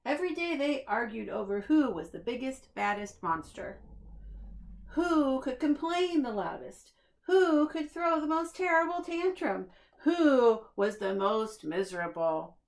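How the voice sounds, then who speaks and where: Female storybook reader for young children, fairly high-pitched, unhurried, expressive and deliberate. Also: creaky, rough recording. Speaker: preschool staff reader. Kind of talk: read-aloud of a children's picture book.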